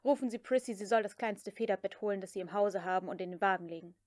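A woman voicing a cool, mocking gentleman who takes command, as part of an audiobook reading. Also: mid-range voice, fast, dry recording, clean studio sound, natural voice. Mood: brisk, commanding. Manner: sardonic, crisp, commanding. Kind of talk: dramatic audiobook character reading.

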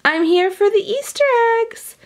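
A woman's voice: extremely high pitch, animated, measured pace, pitched above the performer's own voice, full character voice. A woman voicing a cheery elderly egg lady from a children's storybook in a sing-song, chirpy manner. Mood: cheerful. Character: cheery elderly lady who collects eggs.

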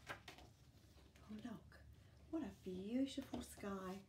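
A woman's voice: mid-range voice, drawn-out words, background noise. A woman in her sixties with a New Zealand accent reads a picture book aloud to young children, expressively, with chatty asides and questions. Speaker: children's storytime host. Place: small book-filled room indoors.

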